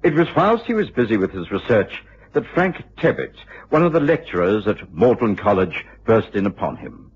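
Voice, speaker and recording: A formal English gentleman recounting a tale in a vintage radio drama. His voice sits slightly low and moves expressively in measured phrases. The recording is muffled. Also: very animated, slightly rough, exaggerated voice. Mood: composed, storytelling. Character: measured male gentleman narrator, the detective's loyal companion. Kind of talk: scripted narration in period radio drama. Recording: dull old radio recording.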